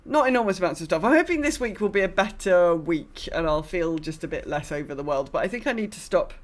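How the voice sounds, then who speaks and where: A woman's voice: lowish voice, animated, quick pace. A woman hosting a gaming podcast, chatting casually in a rambling, self-deprecating way. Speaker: podcast host.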